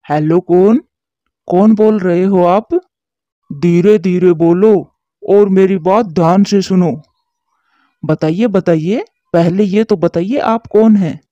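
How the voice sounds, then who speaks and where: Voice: medium pitch, slow pace, warm tone, no room sound, natural voice. A voice actor playing a scheming male crow in a Hindi cartoon, whiny when hurt and menacing on the phone. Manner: whiny, then threatening on the phone. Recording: dull lo-fi voice track.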